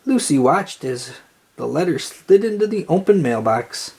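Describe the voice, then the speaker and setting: An expressive storyteller reading a children's book aloud. The mid-pitched voice is slightly breathy and rises and falls in lively swings. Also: unhurried.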